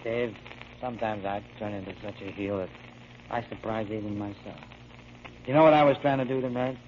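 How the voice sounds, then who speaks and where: An actor playing a feckless, remorseful grown son and brother who blames himself and promises big, in 1940s radio drama style. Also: male voice, fairly low pitch, fairly quick, close to an everyday voice. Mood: drunken self-reproach. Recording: muffled, hissy old radio broadcast.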